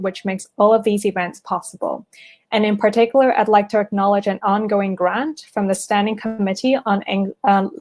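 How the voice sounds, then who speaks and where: Female event host, medium pitch, reading a prepared welcome and housekeeping notes. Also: a little mellow. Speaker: festival team member introducing an online event. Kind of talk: scripted event introduction.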